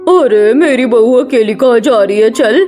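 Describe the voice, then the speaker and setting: A woman's voice: on the high side, a little slow, a little mellow, natural voice. A woman playing an older, quarrelsome, nosy aunt in a cartoon family melodrama, sarcastic and grumbling.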